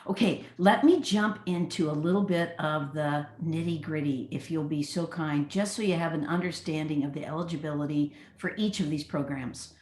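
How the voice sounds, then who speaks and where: A woman hosting a webinar on a video call, praising speakers and moving briskly to the next topic. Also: low-pitched, reverberant. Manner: warm praise, chatty, brisk transitions. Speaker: host, program leader.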